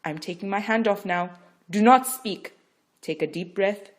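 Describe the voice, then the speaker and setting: A woman's voice: medium pitch, a little slow, pitched above the performer's own voice, lightly acted. A woman voicing a stern, urgent female midwife who barks firm orders during a birth.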